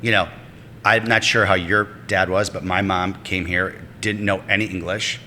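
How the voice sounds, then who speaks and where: This man hosts a podcast with a forceful, bright-edged voice sitting low in pitch. He asks long, rambling questions full of fillers and restarts. His voice carries a long reverb with faint music underneath. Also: flat delivery, moderate pace, slight background noise. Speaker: podcast host. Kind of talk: casual interview hosting.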